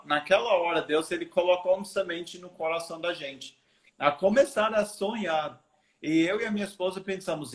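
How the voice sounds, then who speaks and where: An American man, a missionary guest, telling stories earnestly over a video call, with frequent restarts and a noticeable American accent. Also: a little high. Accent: American English. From United States. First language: English. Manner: earnest storytelling with many restarts. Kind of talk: live video interview.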